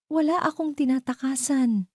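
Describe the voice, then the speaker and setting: A woman voicing a calm, resolute young wife in an audio drama, speaking steadily and firmly. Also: high-pitched, normal speed, dry recording, studio quality, pitched above the performer's own voice, close to an everyday voice. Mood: quiet defiance.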